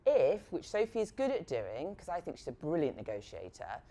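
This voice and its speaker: A woman in midlife leads a workshop on negotiation. Her voice sits low and mellow, and her pitch swings widely as she talks. She speaks frankly and acts out little exchanges to make her points. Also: normal speed. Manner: lively, frank, acts out example dialogues. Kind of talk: live workshop talk to assistants.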